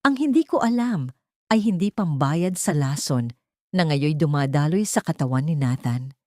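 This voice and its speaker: A woman's voice: low-pitched, expressive, moderate pace, mellow, no room sound, studio quality. A woman voicing a cold, wounded, vengeful young mother in an audio story. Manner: cold, bitter. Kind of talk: audio story character dialogue.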